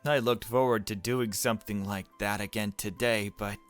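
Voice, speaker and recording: A man reading aloud the narration of a gentle, anxious, bookish young girl in a visual novel. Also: lowish voice, steady tone, normal speed, faint music behind, close to an everyday voice. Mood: gentle, musing.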